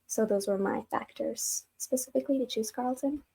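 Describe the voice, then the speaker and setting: Young woman with a gravelly edge. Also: a little high, brisk, mellow. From Mexico. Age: young adult. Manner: casual, a little hesitant with fillers. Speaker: student ambassador. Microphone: video call.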